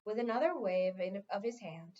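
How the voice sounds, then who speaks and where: A woman's voice: mid-range voice, lively intonation, normal speed, warm tone. A woman reading a fantasy novel aloud as narrated fiction. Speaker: reader. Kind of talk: audiobook-style read-aloud of a fantasy novel. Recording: dull, lo-fi sound.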